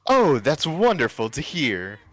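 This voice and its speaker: Man putting on an older socialite woman, swooping pitch. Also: huge pitch swings, measured pace, dry recording, faint music behind, heavily acted. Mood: prying, falsely polite. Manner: genteel, gushing politeness with a vicious edge. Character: older affluent socialite woman, falsely sweet, condescending.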